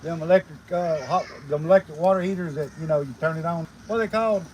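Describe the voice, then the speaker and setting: A man's voice: a little high, fast, darkish tone, a little hiss or hum, low-quality recording. A man talking casually and rambling during a homesteading live stream, outdoors while sharpening blades. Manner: folksy, rambling, lots of fillers. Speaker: homesteading vlogger.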